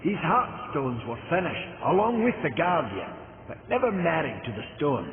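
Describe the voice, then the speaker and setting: A man's voice: a little high, wildly swooping pitch, moderate pace. A man playing a hearty, plain-spoken fantasy maker in a video game, earnest and emphatic. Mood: explaining. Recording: tinny band-limited game audio, heavy noise.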